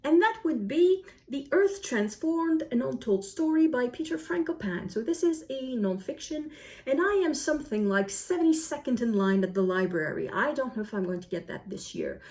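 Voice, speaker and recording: A woman talking casually as a book vlogger reviewing to camera, often pausing and rephrasing as she goes. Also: high voice, animated, darkish tone.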